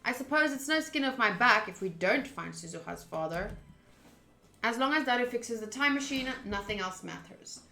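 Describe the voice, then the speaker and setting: A chatty, expressive woman, a native Afrikaans speaker, streaming a game with casual talk and reading aloud. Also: on the high side, moderate pace, vocal fry. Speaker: streamer playing a visual novel. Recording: roomy sound with music underneath. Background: game music.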